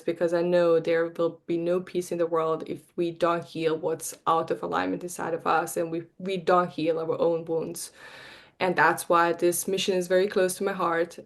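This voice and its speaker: Podcast guest: woman, 40s, earnest, low and level voice. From Bosnia. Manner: earnest, heartfelt, slightly halting. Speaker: guest, marketing coach and energy healer. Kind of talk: podcast interview.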